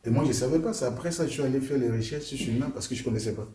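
A man who leads a deliverance ministry, speaking slowly and in a measured way as he introduces a family's testimony, often asking "n'est-ce pas". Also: mid-range voice, warm tone. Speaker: prophet leading a deliverance ministry. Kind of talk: pastoral testimony interview.

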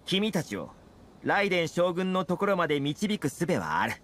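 A man voicing an easygoing, confident young fixer in a Japanese video game, talking smoothly and persuasively. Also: high-pitched, big pitch swings, normal speed, background noise. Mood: composed, persuasive. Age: young adult.

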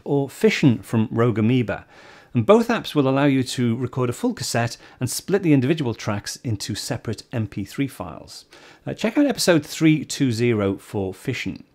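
A man's voice: mid-range voice, a little mellow. An older man of about sixty hosting a tech tutorial, explaining steps informally as he goes. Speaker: host of a tech tutorial show. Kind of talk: step-by-step software tutorial, presenting to camera. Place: small home studio office, indoors.

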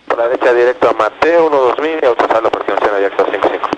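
A man's voice: mid-range voice, expressive, very fast, lo-fi sound. A man working as a pilot, giving clipped readbacks over aviation radio. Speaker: airline pilot. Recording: noisy cockpit-to-tower radio transmission.

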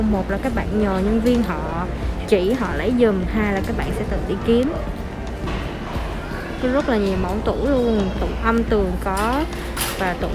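A young woman gives a casual, chatty tour, her slightly high voice upbeat and full of small hesitations. She talks into a handheld phone inside a large store while loud music plays behind her. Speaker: vlogger. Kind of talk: casual walking-tour narration. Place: large indoor furniture store.

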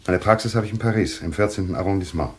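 Man acting a level-headed, low-voiced doctor. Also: racing, natural voice. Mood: calm. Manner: soothing, firm, reasonable. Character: level-headed, patient male doctor taking charge. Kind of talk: German thriller radio play acting.

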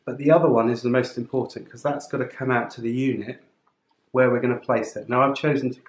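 A man's voice: mid-range voice, steady tone, fast talker. A man giving a chatty, informal step-by-step tutorial while working with his hands. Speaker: presenter of a motorcycle gear channel. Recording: roomy indoor sound, clear background noise, clicks.

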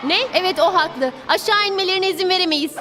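A woman's voice: extremely high pitch, fast, bright, forceful tone, distant mic. A woman voicing an earnest, take-charge teenage heroine in a cartoon dub. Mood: urgent. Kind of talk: animated series dub acting. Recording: faint music behind voice.

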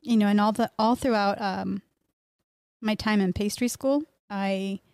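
Mellow-voiced woman in casual conversation. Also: medium pitch, steady tone, measured pace, vocal fry, dry recording, clean studio sound. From Miami, born in Mexico. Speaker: podcast guest, pastry chef and bakery owner. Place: small indoor podcast room. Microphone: close studio mic on boom arm, headphones.

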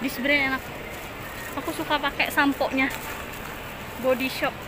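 A woman's voice: sits high, level tone, a little slow. A young woman chatting playfully to her phone camera as she vlogs her day on trains and in malls. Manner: chatty, playful, often laughing. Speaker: vlogger. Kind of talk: casual vlog chat. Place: moving between trains, stations and malls. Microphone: handheld phone camera. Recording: busy crowd and loud transit noise. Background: crowds, trains and street noise.

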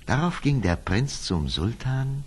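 A man's voice: medium pitch, big pitch swings, measured pace, slightly rough. A man narrating a scripted fairy tale as a dramatic storyteller.

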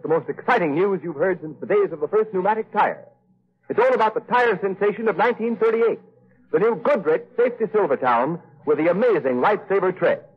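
A man announcing from a script in an emphatic, formal 1930s radio style. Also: high-pitched. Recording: tinny, rough 1938 radio broadcast.

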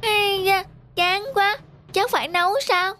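A woman's voice: very high voice, little pitch movement, measured pace. A woman voicing a polite, plaintive little boy in a cartoon, with a childlike manner. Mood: whining. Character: little boy, eager then weary and whining. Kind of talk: dubbed cartoon acting. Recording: music under the voice. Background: background music.

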